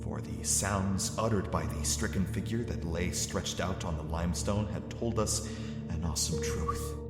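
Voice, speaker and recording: A man narrating an old horror tale in a grave, hushed manner that builds to swells of suspense. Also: deep voice, normal speed. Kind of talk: dramatic horror story narration. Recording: cave-like reverb with music beneath. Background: dark ambient music.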